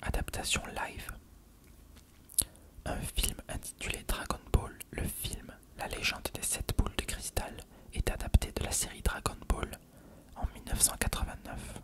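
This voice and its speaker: Whispered ASMR narrator reading in French. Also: a little slow. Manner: unvoiced whispering throughout.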